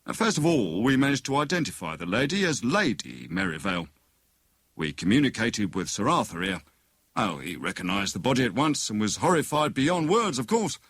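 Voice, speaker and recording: A man voicing a stiff, solemn police inspector with a British accent, politely formal and a little halting, in an audiobook. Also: medium pitch, very animated, a bit bright, breathy edge, no room sound, heavily acted. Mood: businesslike. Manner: formal, hesitant fillers.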